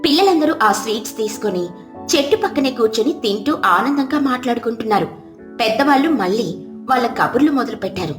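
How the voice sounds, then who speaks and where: A woman's voice: a little high, lively intonation, quick pace, reverberant, soft background music. A woman reading scripted narration for a children's story.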